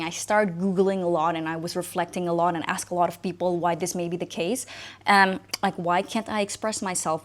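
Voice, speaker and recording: A woman in her thirties from Indonesia, whose first language is Indonesian, speaking as an English coach who tells her own story to the camera in a reflective, conversational way. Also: lowish voice. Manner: conversational, reflective, frequent "you know" and "like". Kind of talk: personal talk to camera.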